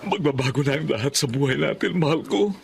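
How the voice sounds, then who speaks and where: A man's voice: a little high, expressive, fairly quick, gravelly, a little hiss or hum. A man playing a tender, remorseful Filipino husband in a radio drama. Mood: tender, emotional. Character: tender, remorseful husband reunited with his wife.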